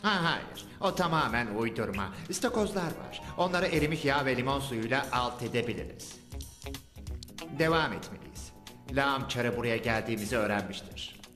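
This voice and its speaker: A man voicing an earnest, serious male sewer-dwelling hero in a dubbed cartoon, explaining things briskly. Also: mid-range voice, expressive, lightly acted. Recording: echoey reverb with music.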